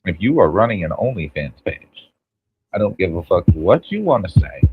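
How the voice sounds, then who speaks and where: A man's voice: sits low, big pitch swings, moderate pace, narrow, tinny audio. A man in his thirties on a podcast, blunt and opinionated, cursing freely. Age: 30s. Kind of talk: casual podcast chat.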